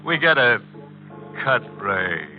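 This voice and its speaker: Swooping, ghoulish radio horror host. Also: male voice, medium pitch, big pitch swings, slow pace. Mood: ghoulish glee. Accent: American. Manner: mock-courteous, macabre punning. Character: ghoulishly jovial male host of a horror show. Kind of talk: 1940s radio acting. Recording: muffled old radio, organ music behind.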